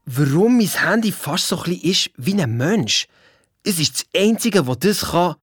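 A male actor playing an excitable, boastful young boy detective with a Swiss German accent, telling his adventure in breezy everyday language for a children's audio drama. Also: sits high, measured pace. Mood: eager storytelling. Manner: breezy colloquial storytelling, blunt and bickering.

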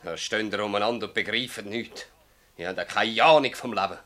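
Man doing a bitter swiss german farmer in a radio play, lowish voice, righteous, hammering his words home. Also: brisk, a bit bright, natural voice. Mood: defiant. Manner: accusing, emphatic, repetitive. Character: bitter, defiant master farmer, righteously outraged. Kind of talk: radio drama acting in dialect.

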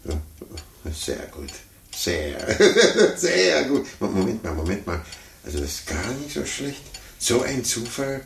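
An actor playing a fussy, condescending older gentleman in a 1950s radio play, muttering to himself and talking down patronizingly. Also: medium pitch, big pitch swings, takes it slowly, creaky, exaggerated voice. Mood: flustered, pompous. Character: condescending, fussy, self-important older gentleman chess amateur. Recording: roomy, noisy 1950s radio recording.

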